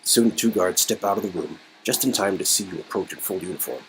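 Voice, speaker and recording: A man's voice: deep voice, fairly quick, fairly bright tone, a little hiss or hum, low-quality recording. A man reading a story game aloud as host, playfully dramatizing it and breaking off into joking commentary. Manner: playful, riffing, swings into exclamations and mock voices.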